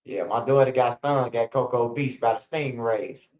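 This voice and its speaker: A man's voice: mid-range voice, normal speed, darkish tone, highs cut off. A man, hosting a livestream and telling street stories in a blunt, profane, casual way, dropping "bro" and "man" often. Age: 40s. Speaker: host of a street news channel.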